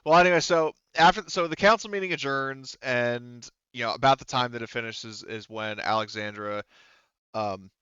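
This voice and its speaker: A man acting as game master, casually narrating and thinking through rules aloud in a halting manner. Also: medium pitch, fairly bright tone, clear, resonant tone, no echo, lo-fi sound.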